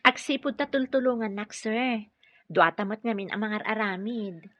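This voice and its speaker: A woman's voice: mid-range voice, moderate pace, bright, forceful tone, dry recording, muffled recording, natural voice. A woman playing a shy, modest young office clerk being courted in an Ilocano radio drama, with an Ilocano accent. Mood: flustered, modest.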